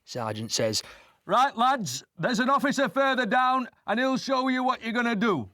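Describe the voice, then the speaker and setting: Chatty young British infantry lad, fearless and eager, swooping animated delivery, dry studio drama sound. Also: male voice, very high voice, normal speed, no room sound, full character voice. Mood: excited. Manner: chatty, excitable. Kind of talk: animated storytelling monologue, quoting others.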